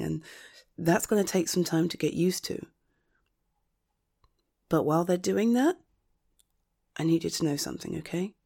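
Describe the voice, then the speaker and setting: A loyal, protective female friend played by a woman in an intimate roleplay drama. Her voice sits a little low and moves slowly. She is earnest and emotional, stressing and repeating her words as she offers support. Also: creaky, a little mellow, heavily acted. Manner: earnest, emotional, halting, with sighs and emphatic repetition. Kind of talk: intimate one-sided audio roleplay acting.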